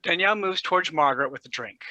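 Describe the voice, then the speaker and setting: Bright, brisk man reading stage directions. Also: a little high, expressive, dry recording. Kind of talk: scripted narration.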